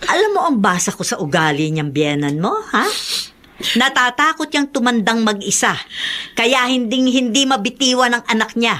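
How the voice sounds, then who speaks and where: A woman's voice: a little high, expressive, moderate pace, fairly bright tone. A middle-aged woman playing a protective, scornful mother in a Tagalog radio drama, fussing and exclaiming expressively. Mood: indignant.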